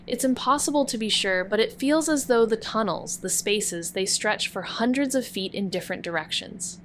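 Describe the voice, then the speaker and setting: A woman's voice: mid-range voice, normal speed, a bit bright, a little hiss or hum, lightly acted. A woman voicing a wry young amateur sleuth who narrates a noir audio drama with dry, sardonic remarks. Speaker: narrator and investigator.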